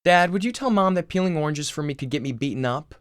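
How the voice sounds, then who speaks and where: A man's voice: a little high, expressive, fast talker, clean tone, no room sound, studio quality, pitched above the performer's own voice, lightly acted. A man voicing a sardonic, incredulous eighteen-year-old son in a screenplay reading.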